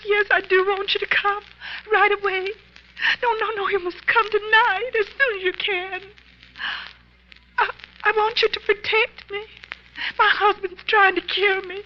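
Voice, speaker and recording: An actress playing a terrified, hysterical older wife with a shrill, sobbing, pleading delivery in 1950s radio mystery style. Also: female voice, fairly even intonation, measured pace, long pauses. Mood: desperate pleading. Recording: muffled old 1950s radio recording.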